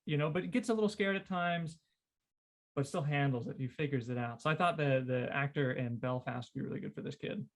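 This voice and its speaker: A man with a slightly high voice chats informally on a podcast panel. He speaks in plain, conversational phrases, sharing ideas with the others. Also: brisk. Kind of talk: casual chat.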